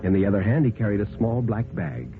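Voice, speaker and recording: Old radio horror narrator, a man, dramatic n deliberate, thin tinny sound, music under him. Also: on the low side, fairly even intonation, creaky. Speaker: storyteller, narrator. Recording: tinny, narrow-band 1950s radio recording. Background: background music.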